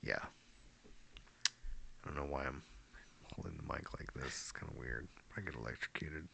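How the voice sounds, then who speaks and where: Male podcast host, very low voice, easygoing kidding banter. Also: normal speed, vocal fry, slight background noise. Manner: joking, relaxed, with chuckles. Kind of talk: casual chat.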